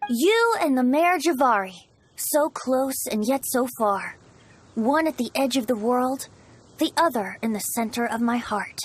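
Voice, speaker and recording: A woman's voice: sits high, lively intonation, unhurried, husky, heavily acted. A woman voicing a playful, teasing young male bard in a video game, theatrical and eloquent with a lilting delivery. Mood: poetic, admiring.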